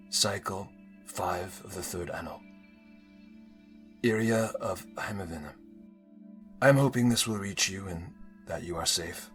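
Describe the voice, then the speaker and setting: A man playing a middle-aged, reserved alien scientist and anxious father, giving a measured, formal log entry in an audio drama. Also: sits low, gravelly, fairly bright tone, heavily acted. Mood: formal, concerned.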